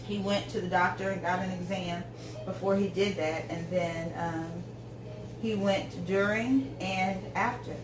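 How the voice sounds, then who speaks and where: A woman's voice: on the low side, fairly even intonation, a little slow, recorded from a distance, background noise. Chatty fortysomething woman cooking in an echoey kitchen. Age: 40s. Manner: rambling, talkative, many ums and tangents. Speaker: home cook vlogger. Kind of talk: casual chat while cooking. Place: home kitchen.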